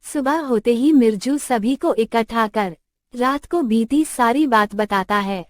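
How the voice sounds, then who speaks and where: A woman's voice: a little high, a little slow, no echo. A woman reading scripted narration for a children's cartoon story. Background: music.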